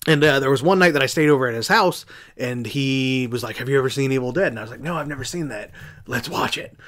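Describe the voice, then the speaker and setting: An American man with a mid-pitched voice hosts a casual podcast. He tells stories in a loose, rambling way, doubling back and losing his thread, with some offhand swearing. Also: fast talker, fairly bright tone. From southeastern Indiana, United States. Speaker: podcast host. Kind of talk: casual storytelling on a horror podcast.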